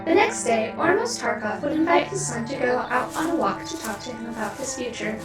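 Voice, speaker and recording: A woman's voice: a little high, animated, brisk, close to an everyday voice. A woman giving scripted story narration for an audio drama. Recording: echoey, distant-sounding voice. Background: music.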